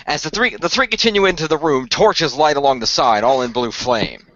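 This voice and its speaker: A man's voice: on the high side, fairly quick, a bit bright, no room sound, highs cut off. A man narrating scenes in a dramatic storytelling style for a radio play. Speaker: narrator and game-master-like storyteller.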